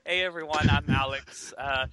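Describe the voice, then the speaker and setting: A man's voice: fairly high pitch, fairly even intonation, normal speed, sharp tone, slight background noise. A man from Central Florida, a jokey, giggly podcast co-host.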